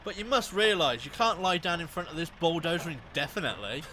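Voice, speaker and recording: Man playing officious foreman, high animated voice, pompous, impatient, radio comedy, vehicle noise behind. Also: fast. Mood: impatient, insistent. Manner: pompous, insistent. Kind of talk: radio comedy play acting.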